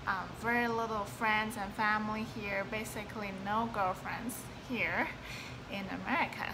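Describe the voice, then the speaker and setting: A young woman from Hong Kong giving casual business tips in a relaxed, chatty way, with many ums, filmed at close range in a bedroom. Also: fairly high pitch, noisy background. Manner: chatty, many ums, talks with her hands. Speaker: online boutique seller. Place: bedroom, indoors, seated on a sofa.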